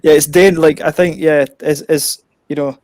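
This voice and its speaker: Scottish beer host, slightly higher voice, chatty and giggly, dry close sound. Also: male voice, flat delivery, normal speed, a little mellow, no echo. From Scotland. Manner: laughs often, rambling with many restarts. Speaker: beer reviewer hosting an online hangout. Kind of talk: casual chat. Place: home, on a video call.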